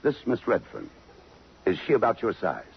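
An actor playing a tough, brooding police detective in 1950s American radio drama, curt and hard-boiled. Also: male voice, fairly low pitch, wildly swooping pitch, normal speed, vocal fry, slightly rough, exaggerated voice. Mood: brisk, probing. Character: tough, brooding police detective lieutenant smitten by a murder victim. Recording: muffled, noisy 1950s radio recording.

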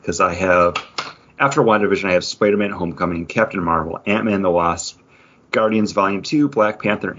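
A man talking casually as a podcast co-host, thinking his opinions through aloud in a measured way. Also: on the low side, fairly bright tone, slightly rough, lo-fi sound. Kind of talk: casual opinion chat about movie rankings.

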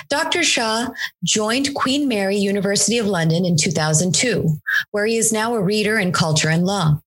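A woman with a fairly low voice hosts an interview. She reads a formal guest introduction, then moves into relaxed questions with occasional fillers. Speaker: interview host.

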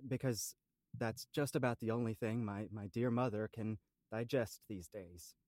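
A man's voice: fairly low pitch, steady tone, a little slow, darkish tone, dry recording, natural voice. A man playing a plain-spoken, earnest small-town islander in an improvised audio comedy, saying absurd things straight-faced. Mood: solemn. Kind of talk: improvised comedy and mock announcements.

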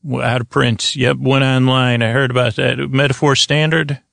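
Creaky-voiced man rambling sleepily. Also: medium pitch, flat delivery, a bit bright, no echo, clean studio sound. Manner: meandering, halting, absurdist one-sided conversation with an imagined doctor. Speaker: podcast host. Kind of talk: rambling improvised bedtime comedy monologue.

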